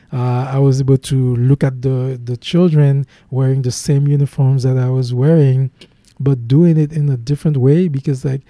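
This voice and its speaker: A man's voice: medium pitch, mellow, no echo. A young man with a Haitian accent, a guest speaking earnestly in an interview, often restarting his sentences. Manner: earnest, many restarts and fillers. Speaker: guest, program founder.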